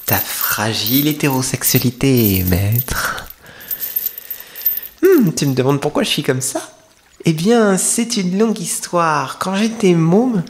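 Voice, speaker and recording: A man's voice: a little high, voice pushed up. A coy young femboy streamer, played by a man, hosts the listener in a maid café roleplay. The delivery is intimate ASMR, playful and teasing, with a confiding way of talking straight to one person.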